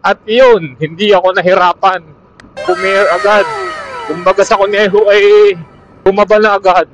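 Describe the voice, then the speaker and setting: A man's voice: sits high, fairly even intonation, normal speed, over music. A man with a Filipino accent chatting casually as a motovlogger to fellow riders, recorded through a helmet intercom mic while out riding. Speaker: motovlogger reviewing gear. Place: on a motorcycle outdoors on a road. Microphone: helmet intercom used as external camera mic. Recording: dull muffled helmet intercom mic over Bluetooth.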